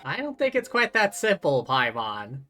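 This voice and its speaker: A man's voice: fairly high pitch, huge pitch swings, moderate pace, sharp tone. A man who streams games, reading dialogue and narration aloud dramatically, with casual asides. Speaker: game streamer. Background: game music.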